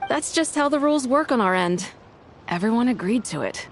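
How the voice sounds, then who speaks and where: A woman's voice: on the high side, animated, fairly quick, a little hiss or hum. A woman playing a pragmatic, plain-spoken army sergeant in an anime-style game, resigned and matter-of-fact.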